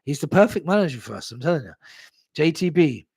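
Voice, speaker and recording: A man's voice: on the high side, expressive, fast talker, creaky, a little mellow, slightly rough, dry recording. Laid-back man chatting on a stream. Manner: laid-back, chatty, swears, laughs at himself. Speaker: live stream host. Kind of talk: casual live chat reading viewer comments.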